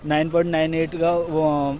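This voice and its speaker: A man's voice: a little high, little pitch movement, normal speed, darkish tone. A young man answering casually as an exam candidate, hesitant and searching for words. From Bulandshahr, Uttar Pradesh, India. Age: young adult. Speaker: exam candidate being interviewed.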